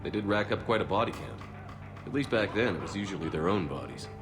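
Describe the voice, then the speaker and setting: A man's voice: lowish voice, expressive, fast talker, in a large hall, close to an everyday voice. A man playing an easygoing, commanding space soldier in a video game, plain-spoken. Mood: relaxed, dry. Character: laid-back, commanding male space soldier hero. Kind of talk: scripted game dialogue. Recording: music under the voice.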